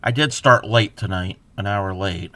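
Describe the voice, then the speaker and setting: A man crocheting on a livestream, counting stitches softly under his breath and chatting casually with viewers. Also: on the low side, unhurried. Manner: laughs at himself, chats back to viewers.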